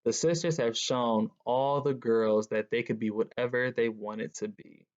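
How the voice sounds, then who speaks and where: A man's voice: mid-range voice, fairly even intonation, measured pace, darkish tone. A man reading a children's picture book aloud as a guest reader. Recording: dull, lo-fi sound.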